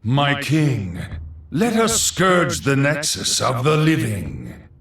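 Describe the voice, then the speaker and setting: A man's voice: medium pitch, big pitch swings, slow pace, fairly bright tone, recorded from a distance, faint music behind, full character voice. A man voicing a pompous, sardonic undead archlich sorcerer for a video game, with theatrical menace, dry asides and a hollow echo. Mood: menacing. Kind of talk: video game character voice lines.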